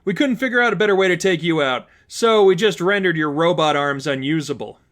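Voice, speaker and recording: A cocky young superheroine from a storybook reading, played by a man, talks in a raised pitch. She is breezy and sarcastic, tossing out gleeful wisecracks in a chatty way. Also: fairly quick, a bit bright, close to an everyday voice. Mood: smug.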